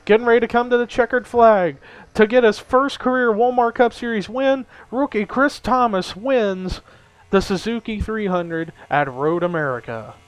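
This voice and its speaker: A man's voice: high voice, moderate pace, no room sound, poor audio. A young man giving casual play-by-play commentary on a simulated race, chatty and lifting his energy at the big moments. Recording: faint background noise.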